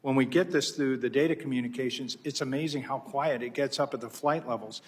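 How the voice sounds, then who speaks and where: Husky male pilot giving testimony. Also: medium pitch, brisk. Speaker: pilot witness. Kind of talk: formal testimony, unscripted.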